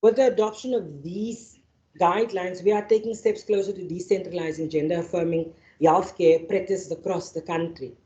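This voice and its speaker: A woman's voice: fairly low pitch, steady tone, normal speed, mellow. Afrikaans-speaking woman, echoey hall. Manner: reads prepared remarks, frequent restarts. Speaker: panel facilitator. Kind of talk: formal speech and speaker introductions. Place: university lecture venue. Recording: echoey hall, dull sound.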